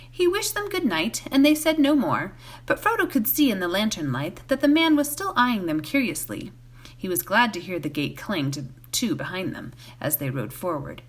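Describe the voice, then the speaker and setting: Woman reading a bedtime story, animated intonation. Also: mid-range voice, slightly rough. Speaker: volunteer story reader. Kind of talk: expressive bedtime novel narration.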